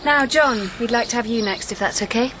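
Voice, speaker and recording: An actress playing a polite, breezy television production staffer in a radio drama, chirpy and accommodating. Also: female voice, fairly high pitch, a little fast, background noise, natural voice. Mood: obliging.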